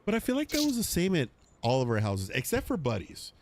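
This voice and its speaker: A man's voice: on the high side, quick pace, a little hiss or hum. A man hosting a casual livestream podcast, chatting with friends and reading out viewer messages. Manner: animated, reads out chat messages. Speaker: podcast host.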